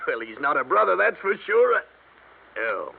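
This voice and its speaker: A gravelly, excitable agent. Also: male voice, a little high, normal speed, heavily acted. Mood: wheedling. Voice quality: gravelly, husky. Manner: chuckling, wheedling, excitable. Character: jovial gravelly male insurance agent Roger. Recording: tinny 1950s radio drama.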